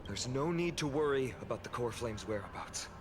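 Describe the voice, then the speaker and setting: A man playing a calm, trusting young leader in a video game, earnest and measured. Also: mid-range voice, a little hiss or hum, close to an everyday voice. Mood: calm, reassuring.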